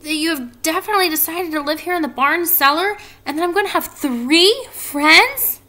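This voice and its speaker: Storybook young pig, played by a woman: high, bright, very animated, lonely and tender, earnest delivery. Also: normal speed, exaggerated voice. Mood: excited, joyful. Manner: earnest, pleading, exclaiming. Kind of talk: children's storybook character reading.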